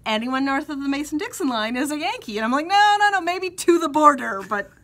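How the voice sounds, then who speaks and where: A woman's voice: high voice, big pitch swings, brisk, a bit bright. A Canadian woman co-hosting a knitting podcast, chatting casually and laughing often as she tells stories. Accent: Western Canadian. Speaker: podcast co-host. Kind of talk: casual chat.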